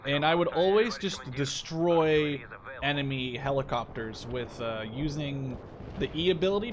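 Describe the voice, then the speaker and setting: A man in his twenties giving casual gameplay commentary, informal and slangy with some swearing. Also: medium pitch. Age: 20s. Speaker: gaming video host. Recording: loud game battle sounds behind voice. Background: artillery, gunfire and vehicles from the game.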